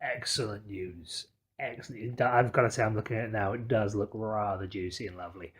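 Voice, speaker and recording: A man's voice: lowish voice, steady tone, fairly quick, breathy edge. A man hosting a podcast, chatting casually in a wordy, emphatic, effusive way. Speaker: podcast host. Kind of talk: casual chat.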